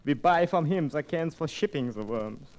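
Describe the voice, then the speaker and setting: An actor playing a fussy, excitable older worm farmer, stammering in broken English in a 1940s radio play. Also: male voice, a little high, moderate pace, lightly acted.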